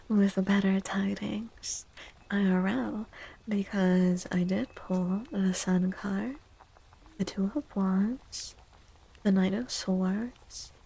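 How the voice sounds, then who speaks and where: A woman speaking in soft, hushed, breathy ASMR style, often slipping into whispers, chatting playfully with livestream viewers. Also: mid-range voice, low-quality recording. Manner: playful, teasing. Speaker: ASMR streamer and tarot reader.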